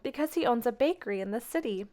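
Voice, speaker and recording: A woman voicing an earnest, kindly young girl in a children's story, speaking gently. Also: fairly high pitch, animated, fast, close to an everyday voice. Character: earnest, kindly young girl, eager to smooth things over.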